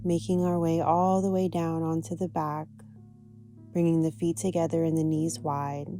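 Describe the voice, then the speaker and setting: A woman teaching yoga, guiding a practice in a gentle, soothing, unhurried manner. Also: sits low, flat delivery, faint music behind. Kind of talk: guided yoga and meditation instruction.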